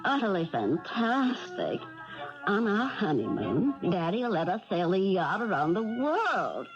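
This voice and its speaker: An actress playing a spoiled young heiress in a 1970s radio drama, affected and effusive. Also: female voice, mid-range voice, sing-song, music in the background. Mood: gushing. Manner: affected, effusive, drawn-out emphasis. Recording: dull vintage radio recording.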